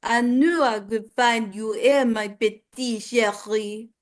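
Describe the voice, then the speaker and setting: A woman's voice: on the high side, steady tone, slow pace. A woman voicing a romantic male cartoon skunk with an imitated French accent, speaking in careful theatrical phrases.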